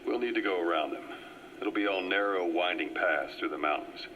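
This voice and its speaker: An actor playing a calm, well-informed agent who briefs a comrade in a measured, explanatory way. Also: male voice, sits low, a little hiss or hum. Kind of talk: video game cutscene voice acting. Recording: muffled cassette tape audio.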